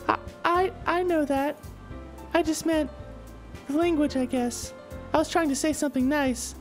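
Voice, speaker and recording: Bookish visual novel schoolgirl, voiced by a woman: high voice, timid, courteous, quietly proud, soft music under. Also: steady tone, moderate pace, lightly acted. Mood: flustered. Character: shy, polite, bookish teenage girl, quietly proud. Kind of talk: visual novel character dialogue read aloud. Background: soft background music.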